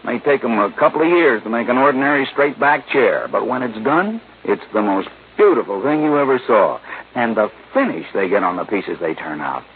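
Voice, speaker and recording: A man's voice: mid-range voice, sing-song, fairly quick, natural voice. A man with an American accent playing a folksy, teasing insurance company man in a vintage radio drama, in an emphatic conversational style. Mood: admiring, emphatic. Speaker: radio drama supporting actor. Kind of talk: 1950s radio acting. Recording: tinny narrow-band vintage radio, faint noise.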